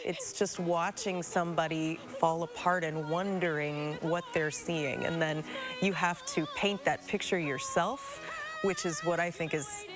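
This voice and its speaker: Lowish-voiced analytical woman over music. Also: steady tone, unhurried. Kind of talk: thoughtful interview commentary.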